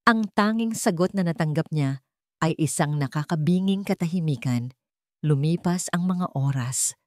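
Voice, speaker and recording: Low-pitched woman narrating a dramatic story. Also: darkish tone, no room sound, studio quality.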